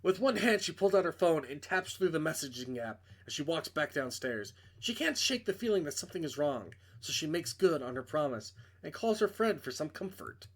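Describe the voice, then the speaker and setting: A man's voice: fairly high pitch, very animated, moderate pace, hardly any pauses. A man reading a visual novel aloud as dramatic narration, with off-the-cuff asides and stumbles that he corrects. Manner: stumbles over words and corrects himself.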